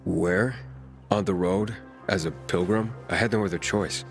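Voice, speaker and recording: A man's voice: sits low, fairly even intonation, measured pace, soft background music. An actor voicing an earnest, guarded, sceptical young male wanderer in a video game, speaking tersely and seriously. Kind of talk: video game dialogue acting.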